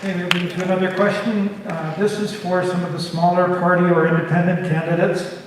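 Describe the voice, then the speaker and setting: A man moderating a candidates' forum, moving briskly through questions. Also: high-pitched, flat delivery, a crowd in the background, background noise. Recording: echoey hall from far off, audience murmur.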